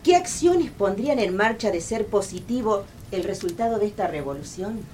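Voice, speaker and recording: A woman's voice: mid-range voice, animated, normal speed, a little mellow, slight background noise, close to an everyday voice. An Argentine woman playing a polite radio reporter at a colonial secret meeting in a radio play. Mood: attentive, formal.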